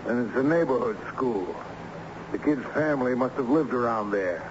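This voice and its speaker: Male actor as determined lawyer, brisk manner, old-time radio drama, muffled sound, heavy noise. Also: mid-range voice, animated, lightly acted. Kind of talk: vintage radio drama acting.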